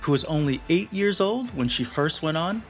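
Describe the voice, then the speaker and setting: Man, young adult, slightly high voice, scripted documentary narration, dramatic storyteller, soft music underneath. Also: lively intonation, normal speed. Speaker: narrator of a feature article.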